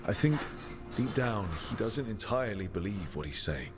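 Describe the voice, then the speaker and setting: Casting: actor as resolute, earnest game protagonist, deep male voice, plain-spoken delivery, music underneath. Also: lively intonation, moderate pace, close to an everyday voice. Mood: thoughtful. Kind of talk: video game dialogue acting. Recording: music behind the voice.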